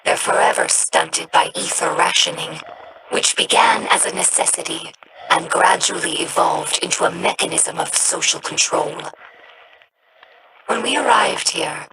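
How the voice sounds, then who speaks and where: An actress voicing a young female alien scholar in a video game, earnest and reflective, with a breathy rasp and a slow, solemn delivery. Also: fairly low pitch, noisy background. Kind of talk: game character dialogue.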